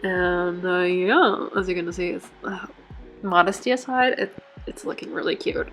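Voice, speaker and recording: A woman's voice: on the low side, normal speed, fairly bright tone, soft background music. A woman who is not a native English speaker, fighting off a cold, chatting casually through a journal setup with lots of fillers and self-corrections. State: has a cold. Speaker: bullet journal vlogger. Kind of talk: casual chat.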